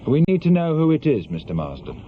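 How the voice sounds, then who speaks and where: An actor playing a curt, commanding police chief inspector in a radio drama. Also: male voice, on the high side, wildly swooping pitch, a little fast. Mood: grave, measured. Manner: curt, probing, authoritative. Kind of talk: naturalistic radio drama acting. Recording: dull lo-fi radio recording, noisy.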